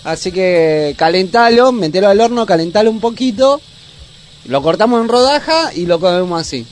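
Male Argentine host, high voice, informal cooking talk, colloquial and full of diminutives. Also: animated, normal speed, a little hiss or hum. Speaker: host of a youth culture radio cooking segment. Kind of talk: casual cooking chat.

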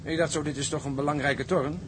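A man's voice: on the high side, steady tone, fast talker, close to an everyday voice. An actor playing a sober seaman who narrates his grim voyage diary in an audio drama. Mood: grave, brooding. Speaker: narrator and ship's officer keeping a diary. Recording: clearly noisy audio track.

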